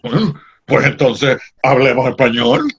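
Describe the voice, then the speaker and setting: A man's voice: medium pitch, animated, moderate pace, breathy edge. An actor with a Puerto Rican accent playing an indignant, sarcastic Puerto Rican man in a play reading. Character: Puerto Rican man raised in New England, indignant, fussy. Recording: dull lo-fi audio.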